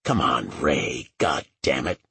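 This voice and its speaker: A man plays a folksy male agent in a thriller audiobook. He is informal and placating, a touch exasperated, with a husky voice and lively intonation. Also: rumbling low, slow, dry recording, pitched below the performer's own voice, exaggerated voice. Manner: informal, reassuring, mildly exasperated.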